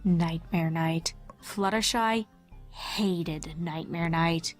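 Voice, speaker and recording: A woman's voice: fairly low pitch, very slow, faint music behind. A woman reading scripted storybook narration as the narrator of a tale.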